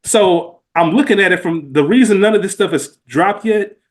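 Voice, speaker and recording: A man's voice: high-pitched, normal speed. A man co-hosting a podcast in casual, heartfelt conversation, speaking earnestly in informal, slangy language and asking probing questions. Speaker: podcast co-host.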